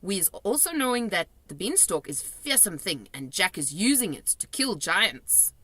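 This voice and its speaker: A woman's voice: mid-range voice, big pitch swings, moderate pace, bright, forceful tone, breathy edge, dry recording, full character voice. A woman voicing a kindly male storybook giant who explains things earnestly in muddled grammar. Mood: earnest, explaining.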